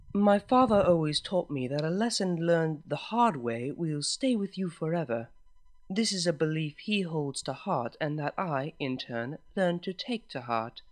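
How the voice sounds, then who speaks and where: A reader telling a fan story aloud in the first person, in a formal, measured way. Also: medium pitch.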